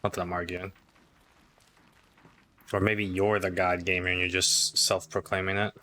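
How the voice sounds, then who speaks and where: A man giving casual live commentary as he plays a game, low-key and thinking aloud. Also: little pitch movement, normal speed, sharp tone, slight background noise. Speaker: game streamer.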